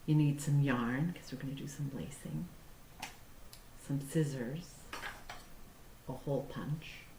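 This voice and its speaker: Slow, low-voiced woman in an echoey room. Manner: simple, deliberate phrasing for young children. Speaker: children's storytime host and craft teacher. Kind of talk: picture-book read-aloud and craft demonstration for young children. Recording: roomy echo with faint background noise.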